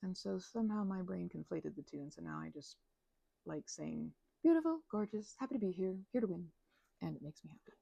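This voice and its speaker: Mellow, husky young woman rambling, gravelly creak. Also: fairly low pitch, expressive, a little fast, no echo, poor audio. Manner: mumbling, hesitant, drifting storyteller. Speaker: ASMR channel creator. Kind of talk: casual rambling ASMR chat.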